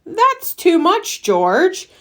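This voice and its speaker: A woman's voice: extremely high pitch, wildly swooping pitch, very slow, fairly bright tone, pitched above the performer's own voice, exaggerated voice. A woman voicing a friendly, exclaiming adult male doorman in a children's storybook. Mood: friendly, surprised. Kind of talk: children's storybook character dialogue.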